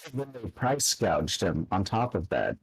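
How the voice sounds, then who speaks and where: A man's voice: sits low, sing-song, a little slow. A laid-back man chatting on a voice call, sarcastic and swearing freely. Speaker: multiplayer pilot guest. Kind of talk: casual chat over voice call.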